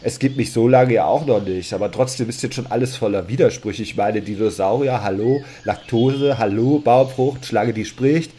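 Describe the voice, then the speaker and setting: A sulky, exasperated first man from a comic radio play, acted by a man. His voice is mellow and rough-edged, of middling pitch. He grumbles and doubts everything. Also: normal speed, few pauses, lightly acted. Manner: whiny, exasperated. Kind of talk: comedic audio drama dialogue.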